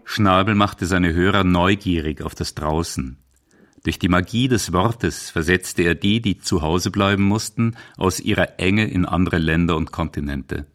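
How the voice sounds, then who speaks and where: A man's voice: deep voice, a little slow, fairly bright tone, soft background music. A man reading scripted narration for a radio documentary as its author and narrator.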